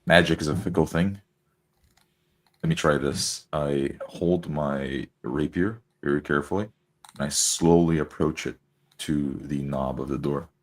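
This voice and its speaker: A man's voice: rumbling low, steady tone, measured pace. A man playing a tabletop roleplay, narrating his character's actions in the first person.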